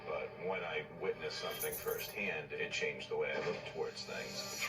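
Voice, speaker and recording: Deep-voiced man in echoey TV clip. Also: fairly even intonation, normal speed. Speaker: paranormal investigator on a TV show. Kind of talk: casual reality TV talk. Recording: echoey, distant TV clip with music.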